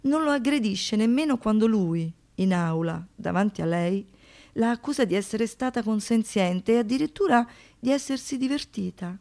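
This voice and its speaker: A woman with a fairly high voice narrates a scripted documentary stage piece. Her phrasing is deliberate and expressive, placing pointed weight on the words.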